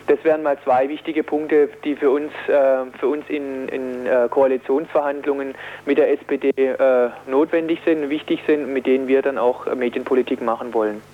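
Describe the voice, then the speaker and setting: A man giving radio interview answers as a political spokesman, speaking haltingly with many ums and repeated words. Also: medium pitch, fairly even intonation. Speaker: interviewed politician, Green media policy spokesman. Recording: tinny phone-like line on radio.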